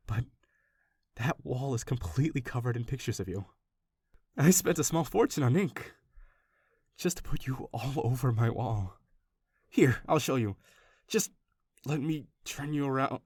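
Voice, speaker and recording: A man's voice: on the high side, darkish tone, no room sound, studio quality. A man playing an obsessive, doting young stalker in an audio roleplay, talking straight to the listener in an affectionate, rambling, gushing way. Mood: doting, earnest. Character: obsessive, doting young male stalker, awkwardly gentle kidnapper.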